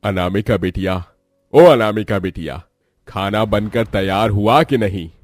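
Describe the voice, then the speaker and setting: This is a middle-aged father in a cartoon family story. His low voice is fond and weary, that of a poor labouring parent. The recording is dry. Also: measured pace, no room sound. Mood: fond, sorrowful. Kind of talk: Hindi cartoon story voice acting.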